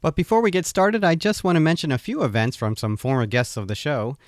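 A man hosting an interview podcast, chatting in a relaxed way with frequent fillers and restarts. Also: on the high side, no room sound, studio quality. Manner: conversational, halting with fillers. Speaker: podcast host. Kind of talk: casual interview.

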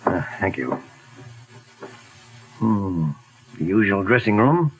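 An actor playing a calm, methodical detective in a 1940s radio drama, asking measured, probing questions. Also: male voice, fairly low pitch, poor audio. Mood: inquiring.

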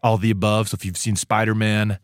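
A young man in his early twenties from Taiwan talks easily as a guest in a radio studio. His voice sits on the low side and sounds dry and close. He rambles in a friendly way, with many "um"s and restarts. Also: a little fast, no echo. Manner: relaxed, chatty, many fillers and restarts. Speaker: student guest. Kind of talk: casual interview chat.

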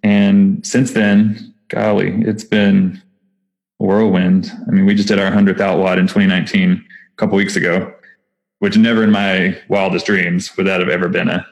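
A man's voice: fairly low pitch, vocal fry. A man with a Texas accent, founder of a nonprofit, talking casually and enthusiastically in an interview, with lots of fillers and restarts. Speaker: nonprofit founder, podcast guest.

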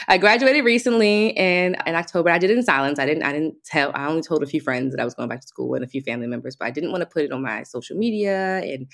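Low-voiced lively American woman. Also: fast, vocal fry. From United States. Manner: animated, laughs often, self-assured. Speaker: guest, hairstylist. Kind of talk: casual interview chat.

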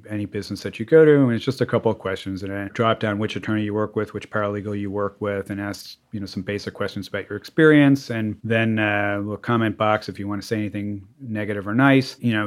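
A man, a lawyer talking casually in an interview, rambling with lots of "you know" and restarts. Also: fairly low pitch. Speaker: lawyer, guest.

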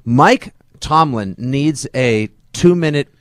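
A man co-hosting a sports podcast, bantering casually about football in an animated, joking, ranting way. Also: mid-range voice, slow pace, no room sound.

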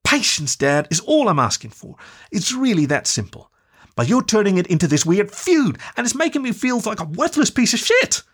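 A woman's voice: mid-range voice, very animated, moderate pace, breathy edge, voice pushed up. A man voicing an anxious, hurt young female dog who speaks, pleading and frustrated. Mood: upset.

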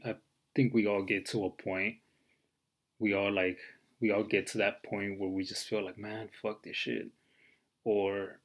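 A man in his twenties hosting his own podcast, talking casually and rambling with lots of fillers and some swearing. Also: lowish voice. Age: 20s. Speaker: podcast host. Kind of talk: casual solo podcast monologue.

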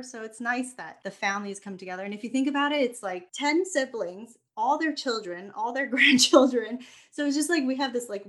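A woman's voice: medium pitch, fairly bright tone. An American woman hosting a podcast, telling a personal family story in a reflective, earnest way with light laughs and restarted words. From United States. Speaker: podcast host.

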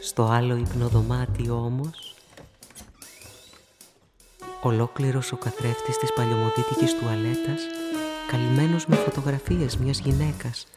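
An actress giving an eerie narrating voice in a radio play, speaking slowly and in hushed tones. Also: female voice, rumbling low, fairly even intonation. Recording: loud music under the voice.

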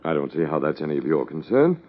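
An actor playing a stiff, evasive, easily affronted husband in a 1950s radio drama, speaking formally and hesitantly. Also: male voice, extremely low pitch, big pitch swings, fast talker, full character voice. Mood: indignant. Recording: muffled old radio recording.

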